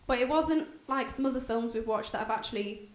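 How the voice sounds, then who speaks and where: An echoey recording with clear noise carries a high-pitched woman's voice. She chats casually as a podcast co-host. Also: brisk. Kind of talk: casual chat. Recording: echoey room, clearly noisy, with clicks.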